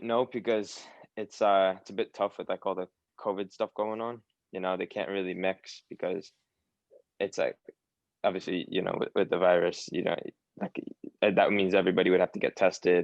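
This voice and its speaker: Young man, Long Island accent, dull dry lo-fi sound, halting casual answers. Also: low voice, flat delivery, gravelly, no room sound. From New York, USA. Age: young adult. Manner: hesitant, many fillers and restarts. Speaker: guest, academy footballer. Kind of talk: casual interview chat.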